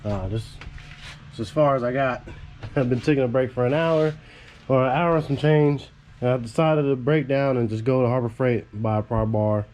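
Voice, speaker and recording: A man's voice: medium pitch, fairly even intonation, normal speed, a little mellow, a little hiss or hum. A man with a head cold, stuffy and congested, casually rambling through a car build in a garage. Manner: laid-back, rambling, casual swearing.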